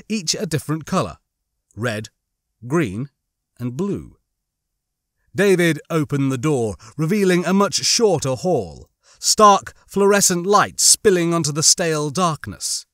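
A man narrating a suspense novel as an audiobook, with measured, dramatic storytelling. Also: a little high, big pitch swings, a bit bright, dry recording, studio quality. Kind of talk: scripted dramatic fiction narration.

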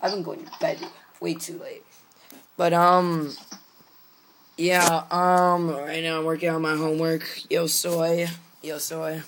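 A teenage boy with a hoarse voice, chatting casually and jokingly into a webcam from his room, wearing a gaming headset. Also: fairly high pitch, level tone, slow pace, fairly bright tone, a little hiss or hum. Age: teens. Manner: rambling, joking, tired. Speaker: vlogger. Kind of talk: casual chat. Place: small room at home, indoors.

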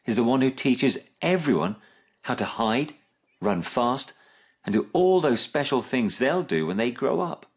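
A man acts out a storybook mother crocodile. She is gentle and reassuring, speaking slowly with expressive rise and fall. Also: mid-range voice, slightly rough, voice pushed up.

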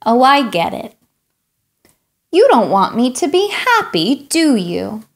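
Storybook pigeon voiced by a woman: excitable, whiny, high and very animated, given to dramatic pleading. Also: slow, heavily acted. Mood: sulky, whiny. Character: excitable, pleading, melodramatic young pigeon wanting its way. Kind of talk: children's picture book read-aloud.

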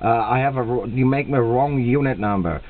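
German radio operator, tinny narrow sound. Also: male voice, mid-range voice. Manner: slow, deliberate, repeats himself. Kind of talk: two-way radio contact. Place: indoors at a radio set. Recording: tinny, band-limited camera capture at the radio.

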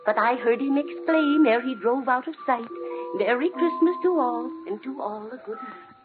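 An actress playing a remembered mother slowly reciting a Christmas poem in an old radio drama. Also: high voice, lively intonation. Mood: gentle. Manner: slow gentle recitation. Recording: tinny old radio recording over music.

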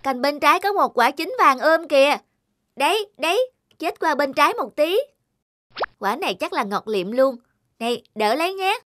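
A woman voicing an eager, carefree young boy in a cartoon, with an excitable childlike manner. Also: high-pitched, normal speed, slight background noise. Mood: excited, surprised. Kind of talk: cartoon voice acting. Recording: loud children's music behind the voice.

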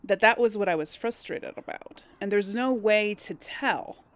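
A woman's voice: mid-range voice, unhurried. A woman giving casual, chatty gameplay commentary as a let's play host, explaining things and correcting herself as she goes. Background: video game ambience.

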